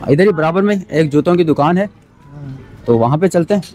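A man's voice: fairly high pitch, expressive, fairly quick, darkish tone. A man vlogging on a street, politely urging others along and repeating short phrases. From Pakistan. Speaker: charity vlogger. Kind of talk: casual vlog narration. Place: busy street and small shops outdoors. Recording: noisy street ambience with traffic.

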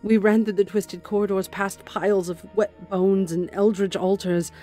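A woman's voice: medium pitch, little pitch movement, normal speed, mellow, faint music behind, natural voice. A woman voicing a grim, zealous warrior nun who recounts a horror in solemn, intense dramatic narration. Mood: grim dread.

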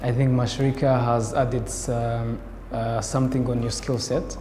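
A man's voice: medium pitch, level tone, a little slow, mellow. Male interviewer, young adult, formal delivery, reverberant room with music behind. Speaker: interviewer, host. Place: indoor room with bare walls, seated.

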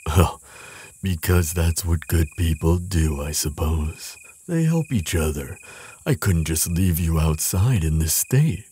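Casting: male actor for a kindly, protective giant in an audio roleplay; very low, slow voice, tender and soothing. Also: mellow, slight background noise. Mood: kindly, curious. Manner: tender, reassuring, polite, slightly hesitant. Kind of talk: roleplay audio drama, speaking soothingly to the listener.

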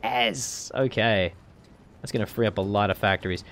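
A man in his thirties with a gravelly voice, livestreaming a strategy game from home, chatty and joking while reading chat and thanking followers. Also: fairly low pitch, normal speed, fairly bright tone. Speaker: streamer. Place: home streaming room, indoors.

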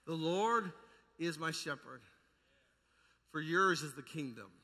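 A man preaches with a fairly high, sharp, forceful voice. His delivery is fervent and emphatic, leaning on repeated phrases and rhetorical questions to the congregation. The sound carries the long reverb of a large amplified church. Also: slow pace. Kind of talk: charismatic evangelical sermon. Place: large indoor church hall.

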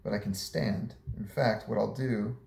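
Hoarse, gravelly young man in an echoey room. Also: on the low side, a little slow, recorded from a distance. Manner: relaxed and chatty, with many fillers. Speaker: vlogger. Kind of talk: casual recovery update. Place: small living room indoors. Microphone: camera a few meters away.

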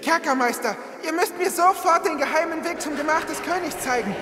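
A woman's voice: high voice, brisk, heavy background noise, close to an everyday voice. A woman playing a devoted, brave young messenger in an audio drama, speaking with earnest, dramatic urgency. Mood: urgent. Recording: reverberant hall, music behind.

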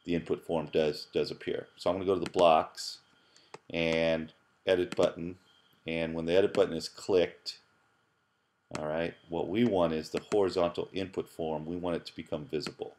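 A man's voice: deep voice, level tone, normal speed, darkish tone, slight background noise. A middle-aged man, a professor, narrating a screencast tutorial in a casual, thinking-aloud way with fillers and repeated words. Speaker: professor teaching app programming.

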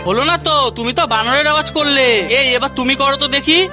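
Woman playing bossy cartoon quizmaster, high voice, rapid instructing delivery, loud music behind. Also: close to an everyday voice. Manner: instructing and correcting. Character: brisk, bossy cartoon quizmaster of animal sounds. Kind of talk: children's cartoon dubbing.

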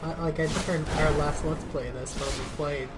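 Female co-op gaming streamer, low register, casual talk over clear game noise. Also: steady tone, fairly quick. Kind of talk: casual co-op gameplay chat. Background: game combat sounds and ambience.

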